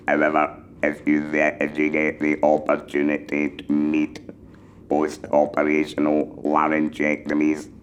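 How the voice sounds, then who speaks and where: Very deep, monotone electrolarynx man's voice. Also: a little hiss or hum. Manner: measured, deliberately enunciated phrasing. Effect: mechanical electrolarynx vibration. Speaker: patient mentor for electrolarynx users. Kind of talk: explanatory talk giving advice.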